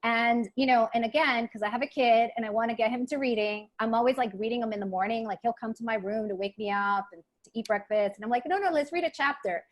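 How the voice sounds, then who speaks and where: Female book panel host, higher register, casual, halting, wordy questioner. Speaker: book club moderator.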